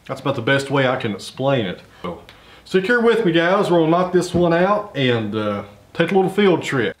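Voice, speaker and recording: A man's voice: on the high side, big pitch swings, moderate pace, a little hiss or hum. A man, explaining things in a folksy, rambling way with lots of fillers. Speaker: sawmill channel host. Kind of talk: casual explanatory vlog. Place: large open-sided sawmill shed. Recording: roomy echo in a shed.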